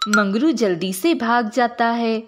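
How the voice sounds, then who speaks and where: Slow, high-voiced woman narrating a story. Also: music in the background.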